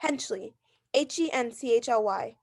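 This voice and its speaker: A woman's voice: a little high, animated, normal speed. An actress playing a neurotic, bedridden woman who is agitated, pleading and self-pitying, in a 1940s radio thriller style. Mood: anxious, fretful. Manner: agitated, pleading, breaking into outbursts.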